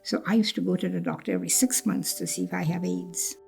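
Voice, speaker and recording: An older woman from Trinidad recounts her past in a reflective interview, often repeating herself and voicing the words of others. Her voice is mellow and carries a gravelly fry. Soft music plays faintly behind her. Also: on the low side, fairly even intonation, fast talker. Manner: reflective, repeats phrases, acts out quoted speech. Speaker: cancer survivor telling her story. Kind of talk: personal storytelling interview.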